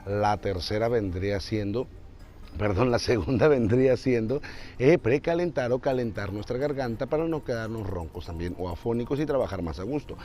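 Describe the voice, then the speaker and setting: A man's voice: medium pitch, lively intonation, moderate pace, warm tone, slight background noise. A man in his twenties hosting an informal tutorial, explaining step by step with repeated points and rhetorical questions. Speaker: video host teaching voice creation for dubbing.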